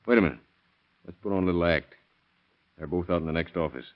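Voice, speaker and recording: Man playing a probing blunt sheriff, low voice, old radio crime show, muffled recording. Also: fast talker, lightly acted. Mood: musing. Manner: blunt, pressing questioner. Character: shrewd, probing male county sheriff questioning suspects. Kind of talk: 1930s radio crime drama acting.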